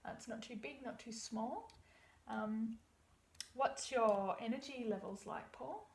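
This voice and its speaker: Young woman, slightly gravelly, calm practitioner walking a client through an eye exam; echoey room with faint hum. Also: fairly high pitch, a little slow. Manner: explains step by step, reassuring. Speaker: iridology practitioner. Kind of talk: practice consultation.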